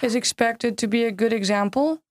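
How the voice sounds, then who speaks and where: A woman's voice: on the high side, moderate pace, no room sound, studio quality. An American woman with English as her first language, answering interview questions in careful learner's Russian and in fluent English. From United States. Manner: speaks careful learner's Russian, laughs easily. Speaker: guest.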